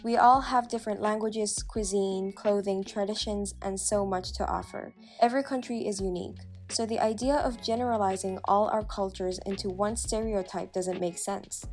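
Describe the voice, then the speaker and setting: A woman reads submitted stories in a plain, even voice. Her pitch is middling, with faint music underneath. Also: moderate pace, few pauses. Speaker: narrator reading submitted stories. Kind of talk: scripted reading.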